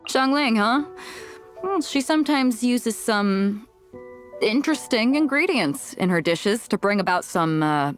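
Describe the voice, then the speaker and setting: Bold lady sea captain from a game, laid-back and straight-talking, big-hearted, bit of music under it. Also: on the high side. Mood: relaxed, amused. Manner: confident, frank, laid-back storytelling. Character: bold, easygoing, big-hearted female pirate ship captain. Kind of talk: video game character voice lines.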